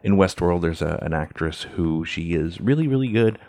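Podcast co-host, male, native Swedish speaker; very low pitch, loose and chatty. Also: lively intonation, normal speed, darkish tone. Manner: playful, mock-official opening, many fillers. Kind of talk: casual podcast chat.

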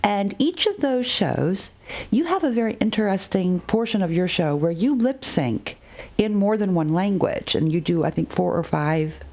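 A woman's voice: mid-range voice, expressive, normal speed, a little mellow. A woman interviewing a guest in casual chat, who laughs often and hesitates with fillers.

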